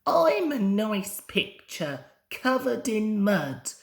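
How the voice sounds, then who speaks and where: A small, touchy steam engine from a children's storybook, played by a young man. The voice is pitched high and draws out its words slowly, indignant and exclaiming, quick to take offence. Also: sing-song, gravelly, roomy sound, exaggerated voice. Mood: grumbling. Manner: indignant, exclaiming in drawn-out cries. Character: huffy, easily offended male little steam engine.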